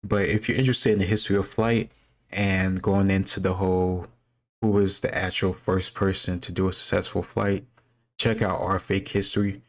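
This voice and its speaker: Man, deep voice, little pitch movement, casual commentary, rambling, thinking out loud, candid. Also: level tone, moderate pace. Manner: thinks aloud, candid about not knowing. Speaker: quiz-taker sharing his own commentary.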